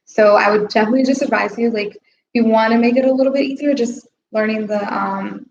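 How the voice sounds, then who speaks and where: A woman's voice: on the high side, steady tone, fast talker, vocal fry, roomy sound, dull audio, a crowd in the background. A young woman guest on a live stream, chatting casually about her studies and saying "like" a lot. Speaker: language student guest.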